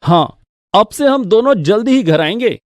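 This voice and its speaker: A man's voice: sits high, animated, moderate pace, no echo, clean studio sound. A man playing a responsible, earnest adult son in a cartoon story, explaining things emphatically. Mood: matter-of-fact.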